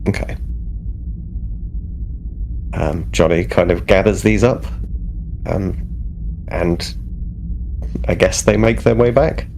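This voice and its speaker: A man with a gravelly, fairly low voice plays a tabletop game. He speaks slowly and hesitantly, casually describing what his character does. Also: a little mellow, slight background noise, poor audio.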